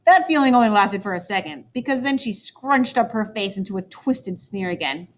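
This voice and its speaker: A woman teacher reading a children's story aloud, with expressive, dramatic emphasis for young listeners. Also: medium pitch, moderate pace. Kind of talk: animated children's book read-aloud.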